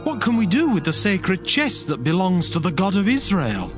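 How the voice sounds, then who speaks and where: A man's voice: high-pitched, big pitch swings, normal speed, music in the background. A man playing a worried ancient townsman in a dramatized audiobook.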